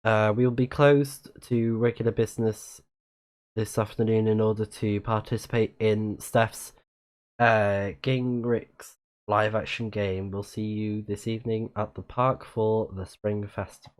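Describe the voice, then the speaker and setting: Low-voiced man streaming a game. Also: flat delivery, unhurried. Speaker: livestreaming gamer and channel host. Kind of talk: casual game commentary, reading in-game text aloud.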